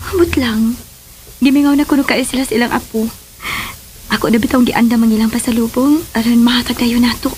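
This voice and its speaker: A woman's voice: fairly high pitch, steady tone, fairly quick, darkish tone, slight background noise, natural voice, low-quality recording. A woman acting a sweet, coy young wife and mother in a Philippine radio drama.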